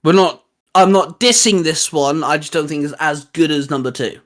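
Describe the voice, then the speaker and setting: A man with a slightly high-pitched voice hosts a casual geeky discussion. He rambles with enthusiasm, often pausing and restarting as he works out his opinion. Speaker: host. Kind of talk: casual geeky chat rating video game forms.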